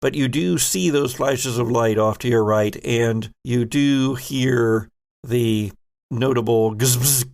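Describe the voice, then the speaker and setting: A man's voice: mid-range voice, slow pace, gravelly, darkish tone. A man running a tabletop horror game as game master, improvising suspenseful narration. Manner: suspenseful, second-person scene-setting.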